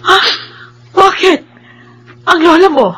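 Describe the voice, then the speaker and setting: A woman's voice: very high-pitched, takes it slowly, fairly bright tone, exaggerated voice, poor audio. A woman playing a sorrowful, caring middle-aged mother in a Filipino radio drama, emotional and plaintive. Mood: concerned, emotional. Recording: music under the voice.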